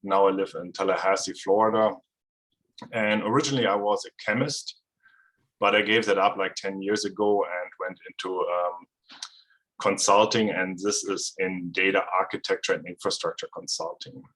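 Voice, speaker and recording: A middle-aged man from Germany with a German accent, giving a relaxed technical presentation over a video call. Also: deep voice, normal speed, low-quality recording. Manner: relaxed, explanatory, with frequent fillers. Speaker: guest presenter.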